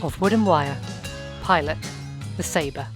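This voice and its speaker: A woman's voice: deep voice, unhurried, loud music behind the voice. A woman giving a scripted announcement of audio drama credits. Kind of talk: scripted narration.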